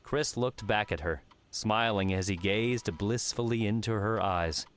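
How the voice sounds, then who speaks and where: A man's voice: fairly low pitch, unhurried. A man narrating an audiobook, reading scripted fiction.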